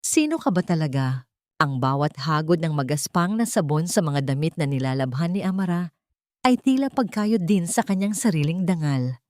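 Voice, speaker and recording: A woman's voice: sits low, normal speed, a little mellow, dry recording, studio quality. A woman reading an emotional story as a dramatic scripted narration.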